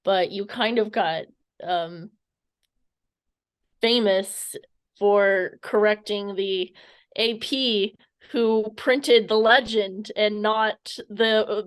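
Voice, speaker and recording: A woman's voice: medium pitch, steady tone, very slow, clean tone, rough recording. A woman interviewing casually, hesitant and searching for her words as she frames questions.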